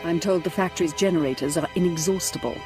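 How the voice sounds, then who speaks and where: A woman's voice: on the low side, fast talker, natural voice. Stern, commanding Imperial governor voiced by a woman. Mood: cool, briefing. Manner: formal, clipped, commanding. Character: stern, calculating Imperial governor briefing an agent. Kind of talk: video game voice acting, briefing. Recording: loud music under the voice.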